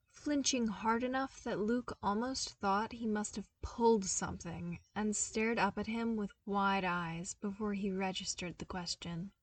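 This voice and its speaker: A woman's voice: mid-range voice, dry recording, recorded from a distance. A woman reading scripted fan fiction as an audiobook narrator. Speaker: podfic narrator.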